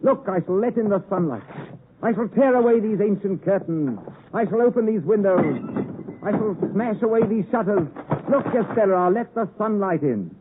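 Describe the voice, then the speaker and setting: An actor playing an earnest, passionate young English gentleman who narrates his story in a theatrical 1950s radio drama style. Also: high-pitched, lively intonation, normal speed, background noise. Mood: impassioned. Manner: theatrical, emotive. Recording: vintage 1950s radio, tinny, music behind.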